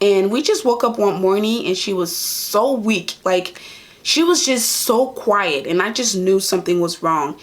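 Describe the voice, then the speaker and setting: A young woman from Liberia, a nurse and vlogger, answering viewer questions in an earnest, casual chat to camera in a small room. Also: medium pitch. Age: young adult. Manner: earnest, talks straight to viewers.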